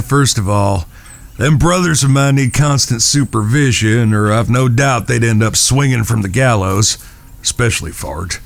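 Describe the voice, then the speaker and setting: A man playing gravelly, courteous Southern cowboy Phil. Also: fairly low pitch. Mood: wistful, hesitant. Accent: Southern American. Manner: folksy, polite. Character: Phil, earnest, courteous, protective Old West outlaw cowhand. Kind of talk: dramatic Western audio drama acting.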